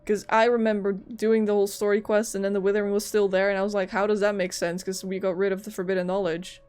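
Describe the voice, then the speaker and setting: A woman's voice: mid-range voice, level tone, fast talker, darkish tone, smooth. A woman streamer giving casual, chatty commentary while playing a game. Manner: chatty, reactive. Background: faint game music.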